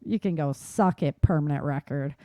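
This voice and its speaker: A woman's voice: deep voice, normal speed, warm tone. A woman co-hosting a casual chat, speaking informally and chiming in. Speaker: show co-host.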